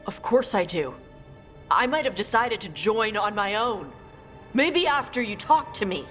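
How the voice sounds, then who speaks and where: A young fantasy game dragon hunter. She is blunt, terse and defiant, in a mid-pitched voice with faint music behind. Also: animated, a little slow, a bit bright. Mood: indignant.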